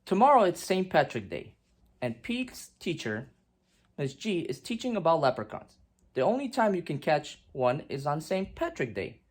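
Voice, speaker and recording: A woman reading a children's picture book aloud in an animated, expressive way with emphatic swings and hushed drops. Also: deep voice, normal speed.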